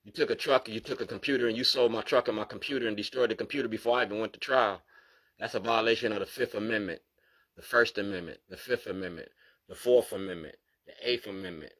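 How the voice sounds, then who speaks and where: A man's voice: lowish voice, quick pace, a bit bright. A man, an activist talking straight to the camera as he goes through his documents, insistent and repeating "you feel me?" to drive each point home. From Baltimore, USA. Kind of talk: direct-to-camera monologue going through documents.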